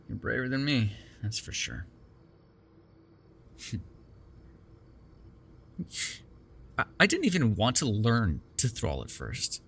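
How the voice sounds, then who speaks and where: A man's voice: mid-range voice, huge pitch swings, takes it slowly, gravelly, long pauses, a bit bright, slightly rough, exaggerated voice. A man playing a chatty, defensive vampire captor in an intimate ASMR roleplay, rambling casually with plenty of swearing. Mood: wry, confiding. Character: chatty, defensive, self-deprecating male vampire captor with a soft spot.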